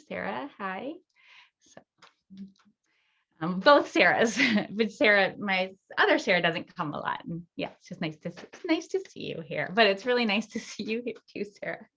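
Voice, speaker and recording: Soft-spoken woman host, slightly dull sound. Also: medium pitch, lively intonation, normal speed. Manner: soft, hesitant, with many ums. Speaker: host and intuitive guide. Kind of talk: gentle group circle facilitation. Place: online video call.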